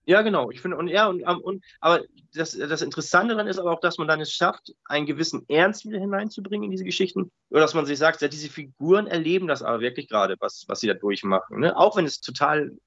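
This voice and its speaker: A man chatting casually as an interview guest, rambling colloquially and telling stories in a lively way with frequent tag questions. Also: a little high, quick pace, hardly any pauses, no room sound, muffled recording. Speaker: interview guest, audio drama writer.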